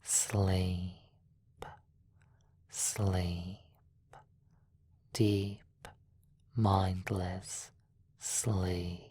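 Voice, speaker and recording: A woman playing a seductive, predatory vampire in a hypnosis roleplay, slow and hushed, often slipping into breathy whispers. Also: a bass voice, little pitch movement, no echo, exaggerated voice. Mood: hypnotic, possessive. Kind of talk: sleep hypnosis roleplay, ASMR.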